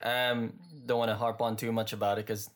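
A man co-hosting a podcast in casual chat, relaxed and rambling, with lots of fillers and easy laughter. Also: on the low side, brisk. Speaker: podcast co-host.

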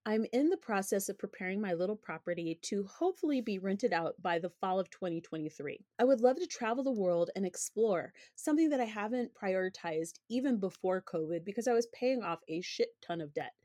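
Woman host, 30s, frank and chatty, a little hoarse, mid-pitched voice, dry close recording. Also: expressive, fairly quick, few pauses, mellow, no echo. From United States. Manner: candid, conversational, opinionated. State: slightly hoarse, recovering from illness. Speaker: podcast host. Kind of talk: personal finance podcast monologue.

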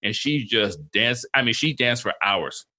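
Bright-toned man co-hosting a chat show. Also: mid-range voice, animated, measured pace. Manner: rambling, storytelling, many restarts. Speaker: podcast co-host. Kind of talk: casual interview chat.

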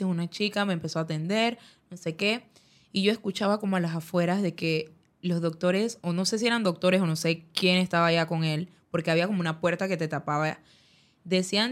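Low-voiced woman recounting her story. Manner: narrative, acts out quoted dialogue, uses many fillers like "dizque". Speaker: guest telling her story. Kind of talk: personal storytelling in conversation.

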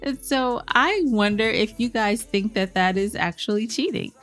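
Female co-host, relaxed chatty delivery, easy laughter, mid pitch. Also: normal speed, soft background music. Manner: bubbly, laughs often. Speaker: podcast co-host. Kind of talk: casual chat.

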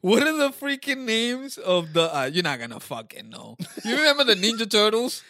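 Man, joking teaser, quick slangy interjections, bright and dry. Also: high-pitched, big pitch swings, no echo. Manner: teasing, jokey interjections. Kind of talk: casual chat.

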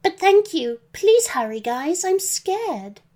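Storybook young girl, played by a woman: scared, pleading, very high voice. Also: sing-song, a little slow, warm tone. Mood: scared, tearful. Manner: plaintive, pleading. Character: frightened, tearful young girl held prisoner. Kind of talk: children's storybook character voice.

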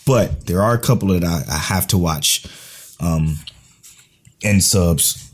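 A man co-hosting a casual podcast, laid-back and slangy, his voice going hoarse. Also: deep voice, slow pace. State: losing his voice. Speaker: podcast co-host.

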